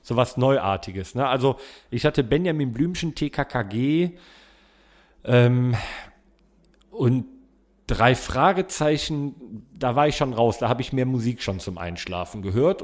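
Mid-pitched man reminiscing casually. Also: lively intonation, unhurried, a little mellow. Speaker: podcast co-host.

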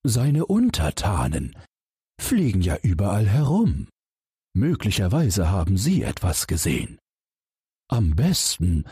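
A man putting on a slow, high-pitched frog king. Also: mellow, husky, dry recording, studio quality, full character voice. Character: kindly old male frog king.

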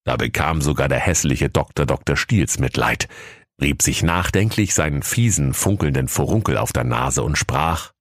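Very deep-voiced male storyteller. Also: animated, normal speed, no room sound, clean studio sound. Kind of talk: scripted fairy tale narration.

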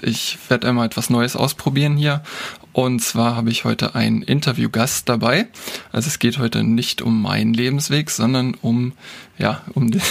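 A man's voice: medium pitch, gravelly. A man in his thirties hosting a podcast interview, friendly and hesitant with many fillers. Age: 30s. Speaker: podcast host.

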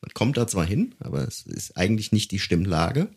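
Casting: male podcast talker, somewhat deep, explains in a conversational, searching way. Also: very animated, moderate pace, vocal fry, a little mellow, breathy edge. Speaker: podcast speaker knowledgeable about singing. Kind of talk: casual explanatory chat.

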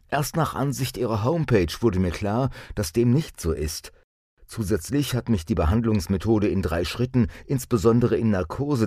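A man reading a scripted first-person testimonial as a narrator, in a measured way. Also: low-pitched, mellow, dry recording, studio quality.

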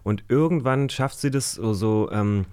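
A man's voice: fairly low pitch, a little slow, darkish tone, clear, resonant tone, no echo, clean studio sound. A man chatting casually as a guest on a show, in loose, informal slang with English words mixed in. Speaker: guest on an audio drama magazine show. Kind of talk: casual conversational talk.

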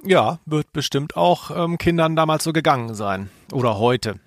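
A man's voice: a little high, moderate pace, no echo, studio quality. A man co-hosting a podcast, chatting in a casual, analytical style. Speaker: podcast co-host.

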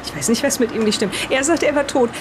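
Woman acting as a rattled wife in a horror radio play, halting and confiding, noisy rumble in the background. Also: close to an everyday voice. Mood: distraught, insistent. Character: shaken, distraught wife haunted by her husband's return. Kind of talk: improvised in-character audio drama.

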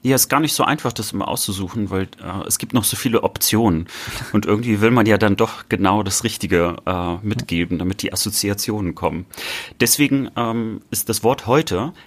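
A man co-hosting a casual podcast, relaxed and often laughing, with frequent hesitations. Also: fairly low pitch, brisk, slightly rough. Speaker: podcast co-host.

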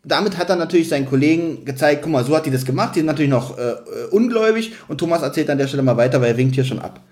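Higher-pitched man in casual podcast chat. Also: lively intonation, racing, a little mellow. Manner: acts out quotes, hesitant searching for words. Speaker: podcast co-host. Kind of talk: casual podcast chat, retelling and critiquing a story.

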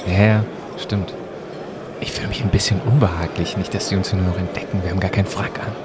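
A man's voice: low voice, steady tone, fast, heavy background noise. A man in conversational audio drama dialogue, playing a time-travelling presenter with an inquisitive, marvelling manner.

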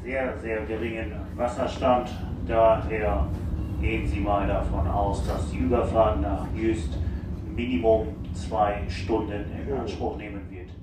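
A man making a ferry passenger announcement over a public address loudspeaker. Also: lowish voice, level tone, measured pace. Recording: echoey, distant, noisy loudspeaker announcement.

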